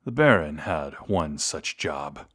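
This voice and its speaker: A man playing a brooding, courteous vampire in an intimate audio roleplay, speaking to a lost love in formal, measured phrases. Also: a bass voice, lively intonation, a bit bright, breathy edge, heavily acted. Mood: measured, reminiscing.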